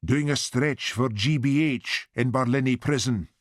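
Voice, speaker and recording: A man's voice: mid-range voice, unhurried, no echo, pitched above the performer's own voice, natural voice. A man voicing an eccentric, matter-of-fact Scottish truck driver with a broad accent. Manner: dry, matter-of-fact, odd.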